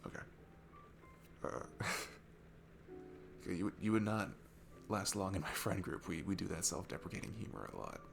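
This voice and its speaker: Man playing a shy, low-voiced, creaky young guy. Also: unhurried, pauses often, music in the background, full character voice. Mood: sheepish. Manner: hesitant, rambling, self-deprecating, awkward nervous laughs. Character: shy, nervous, sweet young man at a bar. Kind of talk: ASMR roleplay, one-sided intimate conversation.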